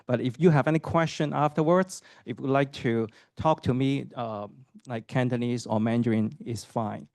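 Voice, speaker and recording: A man's voice: mid-range voice, normal speed, darkish tone, no room sound. Man, middle-aged, from hong kong, professor's admissions talk, chatty questions to the audience, dry close sound. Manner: conversational, asks the audience questions, many fillers and "right?" tags. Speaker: associate professor giving a program talk.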